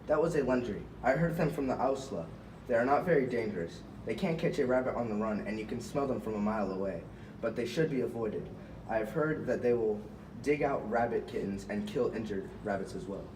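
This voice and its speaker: A man's voice: mid-range voice, fairly quick, close to an everyday voice. A man voicing a brave, hard-headed rabbit in an amateur puppet show, blunt and impatient. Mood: matter-of-fact. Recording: echoey, noisy room, far from mic.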